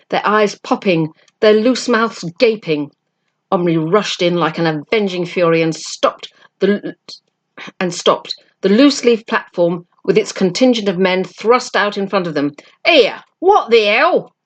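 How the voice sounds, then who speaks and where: A woman reading a children's storybook aloud as narration, with an expressive, dramatic delivery. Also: lowish voice. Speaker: amateur book reader.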